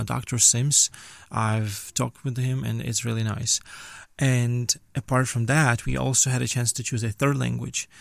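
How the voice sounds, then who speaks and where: Male guest, twenties, Ukrainian native, mid-pitched, conversational, recorded close and dry. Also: gravelly, no echo. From western Ukraine. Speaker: interview guest, language teacher and student. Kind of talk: conversational interview.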